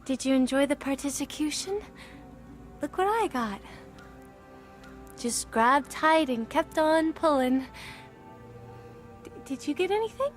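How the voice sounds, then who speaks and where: Unhinged young handmaid: eerily cheerful sing-song, high and swooping, audio drama with faint music. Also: female voice, animated, takes it slowly, pauses often. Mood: giddy, dazed.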